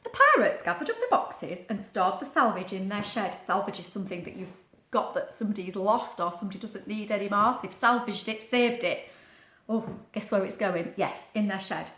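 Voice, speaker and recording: Expressive woman reading a children's story, echoey. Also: mid-range voice, normal speed. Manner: expressive, explains words and asks the listener questions. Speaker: storybook reader. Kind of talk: children's read-aloud with chatty asides.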